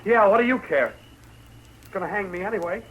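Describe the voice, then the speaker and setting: A man's voice: sits high, lively intonation, brisk, a bit bright. An American man acting in a 1950s radio western as a desperate, defiant young condemned outlaw, bitter and strained. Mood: defiant, anguished. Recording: faintly noisy vintage radio drama recording.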